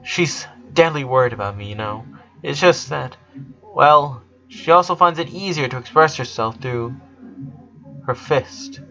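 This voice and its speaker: A man voicing an earnest, caring eleven-year-old boy in a storybook, speaking gently and haltingly. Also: medium pitch, highs cut off. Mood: flattering.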